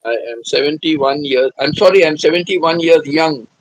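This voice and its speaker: A man in his seventies hosting a live stream, chatting gently and repeating himself, humming between topics. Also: fairly high pitch, moderate pace, no echo, low-quality recording. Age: 70s. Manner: hums to himself between chats. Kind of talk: casual live-stream chat.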